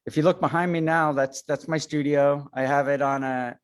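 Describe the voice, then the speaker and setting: A man's voice: fairly high pitch, steady tone, normal speed, smooth. A man from Chicago giving a casual lecture over a video call, hesitant with many fillers and self-deprecating. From Chicago, United States.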